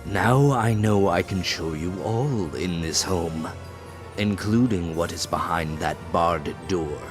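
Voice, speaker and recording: A sinister devil beast, played by a man, low in pitch and formally courteous. He speaks slowly and theatrically, beguiling like a storybook villain, with prominent music underneath. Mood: courteous menace. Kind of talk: audiobook character acting. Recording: music behind the voice.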